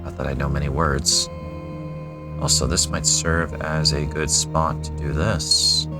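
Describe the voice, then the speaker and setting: A man's voice: very deep voice, level tone, measured pace, loud music behind the voice. A young man casually commenting while playing a retro role-playing game, musing aloud with wry asides and reading the game text. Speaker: gaming channel host. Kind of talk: casual let's play commentary.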